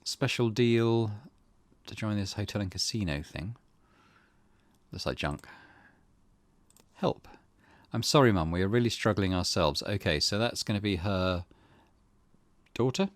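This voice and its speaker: A man's voice: fairly low pitch, a little slow, pauses often, no room sound. A man hosting a let's play, reading game text aloud and commenting on it in a thoughtful, musing way.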